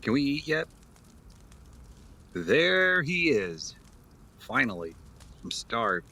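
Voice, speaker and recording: A man's voice: mid-range voice, very animated, takes it slowly, bright, forceful tone, heavily acted. An actor playing a sarcastic, resentful man in recovery from addiction, blunt and defensive, in an audio drama. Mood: hungry, offhand.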